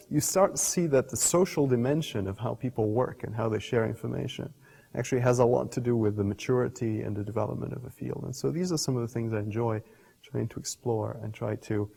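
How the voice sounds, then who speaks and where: A middle-aged man, a scientist explaining ideas in an interview in a thoughtful, halting way, often rephrasing mid-sentence. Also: fairly low pitch, gravelly, dark tone, breathy edge. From Portugal. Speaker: scientist and professor being interviewed. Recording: reverberant hall-like room echo.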